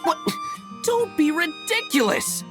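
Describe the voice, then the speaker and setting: A man playing a pompous, theatrical, excitable fruit stall vendor in a video game. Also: extremely high pitch, slow pace, music in the background, exaggerated voice. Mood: indignant.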